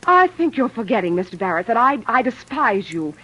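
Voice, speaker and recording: Indignant bookish young lady, high breathy voice, wide swings, dull old radio sound. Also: sing-song, brisk, a bit bright. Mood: scornful. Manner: prim and indignant. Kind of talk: 1940s radio acting.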